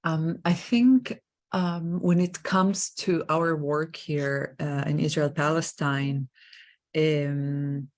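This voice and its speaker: A woman organizer speaks on an online panel in a hesitant way, with many pauses and filler sounds. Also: low voice, steady tone, darkish tone. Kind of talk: panel remarks.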